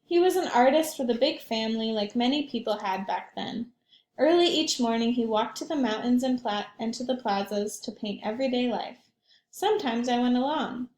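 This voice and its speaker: Woman being a kind dad telling family stories, gentle storybook reading, kinda higher voice, roomy echo. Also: normal speed, lightly acted. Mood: fond, storytelling. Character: gentle, loving father telling family history.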